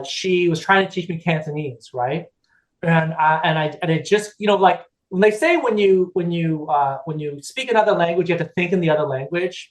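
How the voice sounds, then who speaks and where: A middle-aged man chats as a podcast guest, rambling through stories with lots of restarts, fillers and easy laughter. His voice sits a bit higher than most men's, and the room around him rings with echo. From United States (California). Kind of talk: casual chat.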